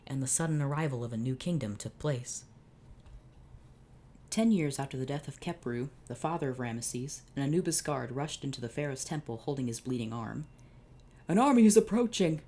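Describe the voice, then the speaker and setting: A man's voice: mid-range voice, a little mellow. Man reading fanfic with sarcastic asides. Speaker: channel host.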